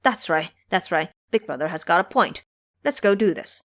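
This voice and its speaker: A woman's voice: on the low side, lively intonation, normal speed, close to an everyday voice. A woman voicing a humble, dutiful male monk in an audio drama, earnest and deferential. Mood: agreeable.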